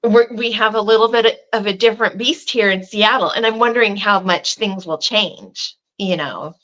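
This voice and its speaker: A woman's voice: medium pitch, normal speed, lo-fi sound. A woman who protests and livestreams, telling a rambling story in casual chat on a video call. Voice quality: loud voice.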